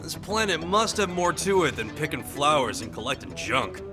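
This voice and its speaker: An actor with an American accent playing a cocky, slangy, grumbling soldier in a video game cutscene. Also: male voice, fairly high pitch, big pitch swings, moderate pace, over music. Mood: bored, irritated. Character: cocky, gruff young male soldier, slangy thrill-seeker.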